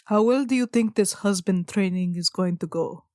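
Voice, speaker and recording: A woman's voice: mid-range voice, moderate pace, dark tone, no echo, studio quality. A woman chatting casually as a player in a tabletop role-playing game.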